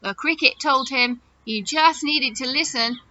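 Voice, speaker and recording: A woman talking chattily to young children as their teacher, animated and full of questions and wonder. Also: high-pitched, measured pace. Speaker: children's teacher hosting story follow-up activities. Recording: dull audio with loud noise and clicks.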